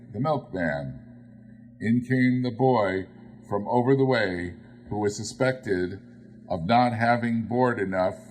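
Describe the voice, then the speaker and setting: A man narrating a literary story as an audiobook reader, in a theatrical, expressive style. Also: fairly low pitch, slight background noise. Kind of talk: dramatic literary story reading.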